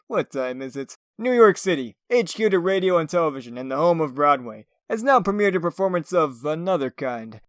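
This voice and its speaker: A man's voice: on the high side, a bit bright, clear, resonant tone, no echo, clean studio sound. A man acting in a comic dub as a flippant, showy tabloid television commentator, sardonic and theatrical.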